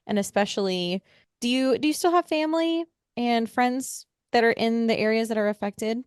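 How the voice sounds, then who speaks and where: A woman's voice: a little high, normal speed, clear, resonant tone, no echo, clean studio sound. A woman hosting a podcast interview, asking friendly, encouraging questions with frequent fillers. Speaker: podcast host.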